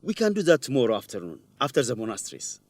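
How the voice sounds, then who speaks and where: Young student reporter, earnest, polite, inquisitive, very varied pitch, radio drama, dry recording. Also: male voice, huge pitch swings, fast talker, dry recording. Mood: eager. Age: young adult. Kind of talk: radio drama dialogue for English learners.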